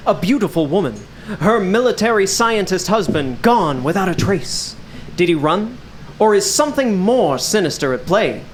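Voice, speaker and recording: An actor playing a suave, theatrical 1940s radio announcer with a showy, dramatic delivery. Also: male voice, sits high, big pitch swings, normal speed, noisy background. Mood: dramatic, intriguing. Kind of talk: 1940s radio acting, scripted narration.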